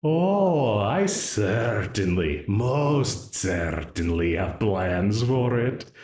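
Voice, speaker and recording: A man's voice: lowish voice, big pitch swings, a little mellow, roomy sound, exaggerated voice. A man voicing a smug, dominant demon who taunts and laughs as he binds a ruler to a contract. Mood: menacing, mocking. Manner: slow, taunting, with frequent laughs. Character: dominant scheming male demon, smug and menacing. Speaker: voice actor in an audio roleplay. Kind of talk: audio roleplay acting.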